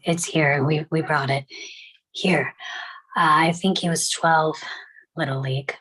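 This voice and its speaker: Deep, husky, somber bereaved parent played by a woman. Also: fairly even intonation, measured pace, a bit bright, heavily acted, low-quality recording. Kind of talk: table read of a drama script.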